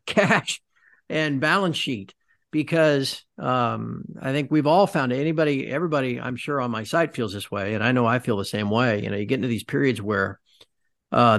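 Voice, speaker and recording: A man hosts a podcast at a middle pitch. He asks long, conversational questions and restarts his sentences as he goes. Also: a bit bright. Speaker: podcast host. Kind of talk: podcast interview.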